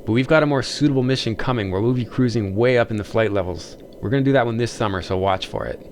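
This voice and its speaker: Fast-talking male narrator over engine noise. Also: medium pitch. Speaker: video host.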